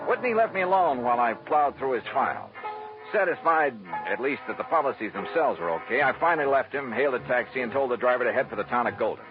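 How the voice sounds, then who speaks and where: An actor playing a wry, brisk, hardboiled insurance investigator in a golden-age radio drama. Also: male voice, mid-range voice, animated, natural voice. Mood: wry, brisk narrating. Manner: brisk, wry, clipped, world-weary. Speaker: lead actor and first-person narrator. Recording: dull vintage radio recording, music behind.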